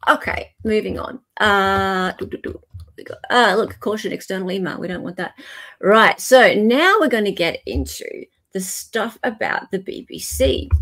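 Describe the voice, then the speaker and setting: A woman's voice: medium pitch, a bit bright. A woman with an Australian accent hosting a live show in a casual, chatty and playful way. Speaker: host of a live commentary show. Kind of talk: casual live chat commentary.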